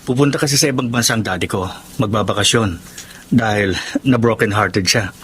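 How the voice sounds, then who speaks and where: An actor playing a rich, kindly young boyfriend and earnest son in Tagalog melodrama style. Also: male voice, fairly low pitch, fairly quick, a bit bright, breathy edge. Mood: reassuring.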